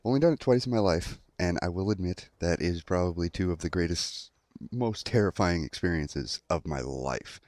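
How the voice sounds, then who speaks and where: A man's voice: low-pitched, animated, normal speed, darkish tone, dry recording. A young adult man telling a story in a casual commentary style, acting out quotes as he goes. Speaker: online storyteller.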